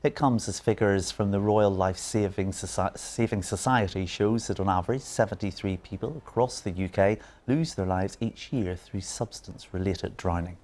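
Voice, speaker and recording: Deep-voiced male newsreader. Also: normal speed, hardly any pauses, darkish tone. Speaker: news presenter. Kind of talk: scripted broadcast news reading.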